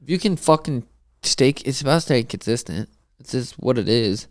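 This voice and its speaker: A man's voice: medium pitch, lively intonation, normal speed. A young man telling stories in a loose, swearing podcast chat, laughing often as he co-hosts. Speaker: podcast co-host, fighter.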